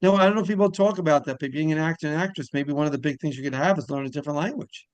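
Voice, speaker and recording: A man's voice: a little high, rapid-fire, dry recording, muffled recording. A man hosting a casual interview, asking questions and reacting conversationally to his guest. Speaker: interviewer, show host.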